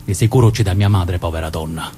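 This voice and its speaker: An actor playing a dead mobster, bitter and reflective, reproaching in a southern Italian accent in a stage drama. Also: male voice, fast, mellow, faint music behind, lightly acted. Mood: reproachful. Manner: bitter, confiding, profane. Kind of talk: dialect stage drama acting. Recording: noisy recording with low rumble.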